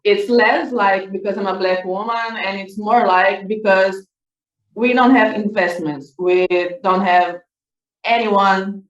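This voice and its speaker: A woman's voice: medium pitch, lo-fi sound. A Brazilian woman with a Brazilian Portuguese accent talks earnestly on a discussion panel. Her voice sits in the middle range. It sounds roomy and a little distant from the microphone. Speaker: student scientist.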